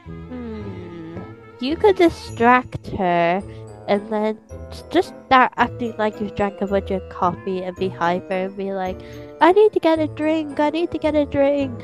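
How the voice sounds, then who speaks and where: A woman voicing a scheming, excitable older child in an improvised roleplay, talking in exclamations and conspiratorial rambles. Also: mid-range voice, a little slow, lightly acted, rough recording. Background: music.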